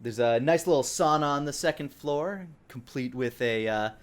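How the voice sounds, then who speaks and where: A man narrating a tabletop game as dungeon master, describing scenes in a conversational, halting way. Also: mid-range voice.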